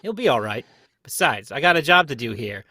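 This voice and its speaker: A man's voice: mid-range voice, very animated, fairly quick, pushed and bright, no echo, clean studio sound. A young man playing a brusque, baffled police detective in a radio mystery reading, plainspoken and emphatic. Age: young adult. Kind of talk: live reading of old-time radio mystery script.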